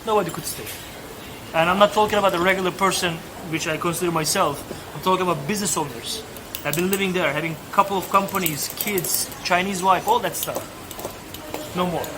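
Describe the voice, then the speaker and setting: A man in his thirties with a Turkish accent, telling his story as a podcast guest in candid, halting English full of restarts. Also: high-pitched. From Turkey. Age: 30s. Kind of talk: casual interview chat. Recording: noisy ambient background.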